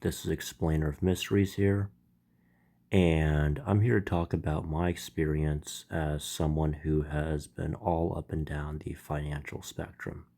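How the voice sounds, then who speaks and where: A man hosting his own channel, speaking with a stuffy, slightly nasal voice in a slow, reflective spiritual monologue. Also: very deep voice, flat delivery, creaky, warm tone. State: stuffy, congested. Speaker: channel host.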